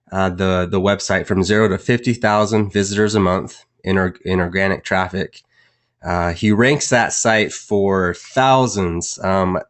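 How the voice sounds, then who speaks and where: Male webinar host, very low voice, halting intro full of fillers. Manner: halting, many fillers and restarts. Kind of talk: casual introduction.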